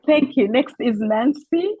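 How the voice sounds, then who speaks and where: A woman hosts a relaxed group conversation, her voice higher and breathy. She sounds close and dry, with no room around her, as she encourages listeners. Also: unhurried, no echo, poor audio. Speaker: host of a women's group talk. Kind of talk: hosting a casual group conversation.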